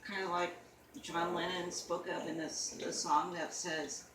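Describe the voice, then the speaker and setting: A woman talking casually and haltingly, with many "you know" fillers. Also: fairly low pitch, little pitch movement. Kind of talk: casual conversation. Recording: echoey room, mic far off, noisy.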